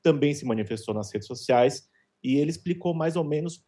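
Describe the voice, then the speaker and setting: A man giving a measured news roundup as a columnist on a talk show. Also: on the high side, warm tone. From Brazil.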